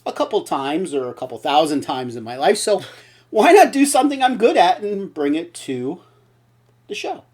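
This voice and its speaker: Lively joking middle-aged man hosting. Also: high voice, normal speed, breathy edge. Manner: blunt, joking, rambling. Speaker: host. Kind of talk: casual comedic chat show.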